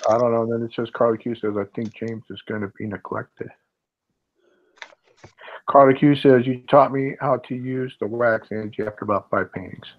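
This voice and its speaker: A man's voice: medium pitch, moderate pace, lo-fi sound. A man on a livestream who reads viewers' chat comments aloud and teases the others. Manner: teasing, mock complaining. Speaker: co-host reading live chat.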